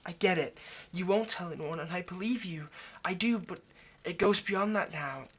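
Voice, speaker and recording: A man voicing an ageless vampire lover in an intimate audio roleplay, possessive yet remorseful, speaking in a halting, earnest, pleading way. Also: sits high, fairly bright tone, slightly rough. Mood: anxious, earnest. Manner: halting, earnest, pleading, dropping to near-whisper.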